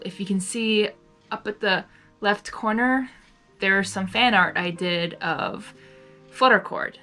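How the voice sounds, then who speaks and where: A woman hosting and narrating a live story reading in an expressive, giggly manner, reacting aloud as she goes. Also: mid-range voice. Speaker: fan fiction reader and host.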